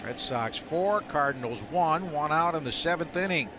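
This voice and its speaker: Higher-voiced man calling live baseball. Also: expressive, normal speed. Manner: clipped, descriptive calls with short phrases. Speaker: play-by-play baseball announcer. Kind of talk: live radio play-by-play commentary. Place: outdoor ballpark broadcast booth. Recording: loud stadium noise behind the voice.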